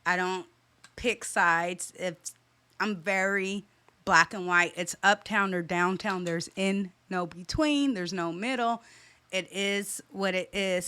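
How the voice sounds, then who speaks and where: Smooth-voiced, emphatic young woman. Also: mid-range voice, pushed and bright, dry recording. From California. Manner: emphatic, repeats key points, direct. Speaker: stylist and boutique owner, guest. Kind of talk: interview answers, advice.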